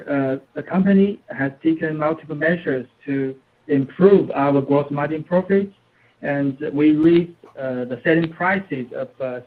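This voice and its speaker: Tinny phone-line man, halting and higher-pitched. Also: slight background noise. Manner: halting, hesitant delivery. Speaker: interpreter for company executives on an earnings call. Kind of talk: consecutive interpreting of financial answers. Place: remote phone conference.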